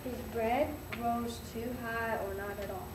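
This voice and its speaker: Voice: mid-range voice, fairly even intonation, a little slow. Child narrator in very noisy room. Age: child, about eight or nine. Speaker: narrator in a school readers' theater. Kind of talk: scripted story narration, read aloud. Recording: very noisy echoey room, distant.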